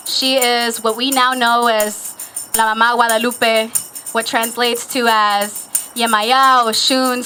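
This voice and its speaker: Woman rally speaker, high, bright voice, earnest, outdoors, faint music behind. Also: poor audio. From Newark, New Jersey. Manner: earnest, warm-hearted address to a crowd. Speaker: artist and rally performer.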